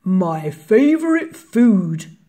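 A woman voicing a huge, slow-witted storybook monster, amazed and emphatic. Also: medium pitch, big pitch swings, warm tone, heavily acted. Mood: jovial, booming.

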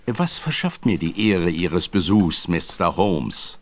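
An actor playing a scheming aristocratic villain, smooth and polite to guests but scornful and commanding behind their backs. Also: male voice, low voice, very animated, slow, exaggerated voice. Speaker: Sir John. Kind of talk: radio play acting.

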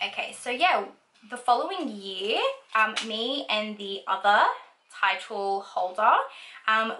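A woman's voice: a little high, pushed and bright, roomy sound, a little hiss or hum. A woman in her twenties, from Australia, chatting casually about herself while doing her makeup, full of likes and ums. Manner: chatty, lots of likes and ums, laughs easily; talks while applying makeup. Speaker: beauty vlogger. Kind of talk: casual get-ready-with-me chat. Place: small indoor room at home.

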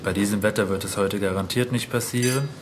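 A man playing a pious, gently admonishing clergyman in an audio drama, courteous and formal. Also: fairly low pitch, steady tone, fast talker, noisy background, close to an everyday voice. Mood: mild, concerned.

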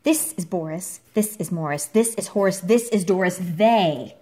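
A woman reading a picture book aloud to a child at home, expressively, with theatrical emphasis and dramatic pauses. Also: lowish voice, mellow. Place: indoor room at home. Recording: home recording with clear background noise, clicks.

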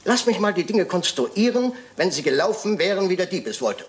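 An actor playing a shrewd, self-assured, pedantic detective in a German radio crime drama, speaking in a deliberate, theatrical way. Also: male voice, high voice, sing-song, slightly rough, full character voice. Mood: reasoning. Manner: theatrical, deliberate, addressing and coaxing others.

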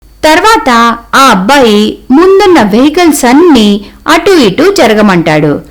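A woman's voice: high-pitched, big pitch swings, slow. A woman narrating a scripted cartoon story as its storyteller.

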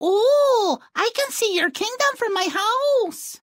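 A whiny young princess from a fairy-tale game. Her extremely high voice rises and falls melodramatically, spoiled and dim, drawing out her petulance at a slow pace. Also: lively intonation, no room sound, studio quality, heavily acted. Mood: dim, sniffy. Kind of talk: video game fairy-tale character acting.

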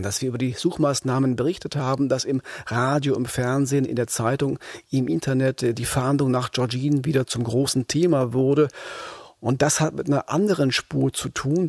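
A man hosting a crime podcast as a reporter, explaining a case conversationally with measured emphasis. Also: medium pitch, gravelly, a little mellow, slightly rough, no echo, studio quality. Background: background music.

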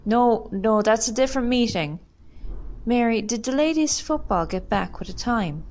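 A woman playing a brisk, businesslike Irish village committee chairperson in an amateur radio drama. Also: a little high, lively intonation, a little mellow. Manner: brisk, matter-of-fact. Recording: noticeable background noise.

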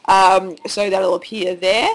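An Australian woman with a cold and a nearly lost voice, chatting excitedly and rambling with many fillers as she walks through her site at home. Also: medium pitch, slow pace. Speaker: online course creator. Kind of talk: casual screen-share walkthrough. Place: at home with children nearby.

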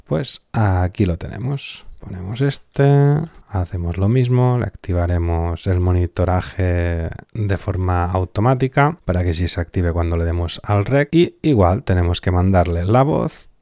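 A man giving an informal software tutorial, offhand and thinking aloud as he works, close on the mic. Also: low voice, lively intonation, unhurried, creaky, dry recording. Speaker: online audio software instructor.

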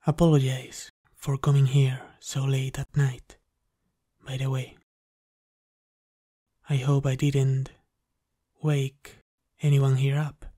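A man playing an obsessive, polite vampire lab technician in a slow, intimate ASMR roleplay, speaking softly and haltingly. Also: medium pitch, no echo, clean studio sound. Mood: polite, quietly pleased. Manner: hushed, halting, deliberately polite. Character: soft-spoken obsessive male vampire lab technician, courteous, menacing.

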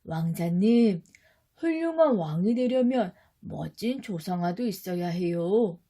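A woman voicing a wise, polite adult mentor at a royal court in a storybook, measured and instructive. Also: lowish voice, expressive, mellow, voice pushed down.

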